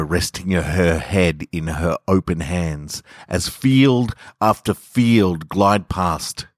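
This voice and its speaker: Deep-voiced Australian man, podcast storyteller. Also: no echo, studio quality. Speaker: podcast host and author reading own stories. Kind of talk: casual intro and measured literary story reading. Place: garage.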